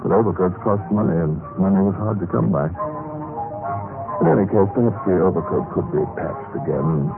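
Meek male clerk for radio drama: deep but animated, halting and apologetic, old-time broadcast sound with music behind. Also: gravelly, narrow, tinny audio. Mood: wry, resigned. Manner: hesitant, stammering, deferential. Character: timid, apologetic dead government clerk narrating his own death. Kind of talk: radio drama acting, first-person storytelling.

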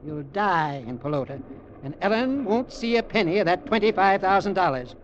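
An actor playing a rich, scheming middle-aged uncle, deliberate and smugly menacing, in a vintage radio drama. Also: male voice, high-pitched, lively intonation. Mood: coldly threatening. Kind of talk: 1940s-50s radio drama acting. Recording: muffled, noisy vintage radio recording.

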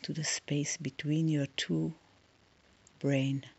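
Soft breathy lady doing a guided meditation, super slow with long pauses, kinda dull recording. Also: deep voice, level tone. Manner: soft, soothing, long pauses between short phrases.

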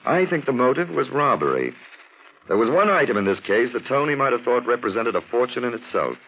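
An actor playing an urbane, erudite detective with florid, gently ironic speech, in 1940s radio drama style. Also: male voice, mid-range voice, big pitch swings, a little fast. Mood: assured. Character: suave, erudite gentleman detective, dryly mocking and theatrical. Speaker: detective and narrator. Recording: muffled, noisy 1940s radio recording.

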